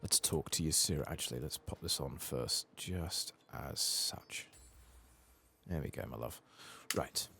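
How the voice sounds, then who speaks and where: A soft-spoken man doing casual, muttered ASMR commentary as he plays through a video game. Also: a bass voice, measured pace, vocal fry, a little hiss or hum. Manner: casual muttering, swearing mildly. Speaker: ASMR gaming channel host.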